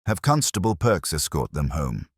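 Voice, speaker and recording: A man voicing a calm, steady male police inspector who asks probing questions quietly. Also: a bass voice, moderate pace, husky, dry recording, studio quality, full character voice.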